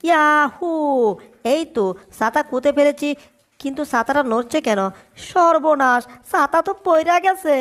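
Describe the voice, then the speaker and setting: Cartoon dub role: a boastful, excitable tomcat, very high-pitched, grumbling and exclaiming. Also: normal speed. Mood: determined, irritated. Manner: exclamatory, self-narrating, boastful. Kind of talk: Bengali comedy cartoon dub.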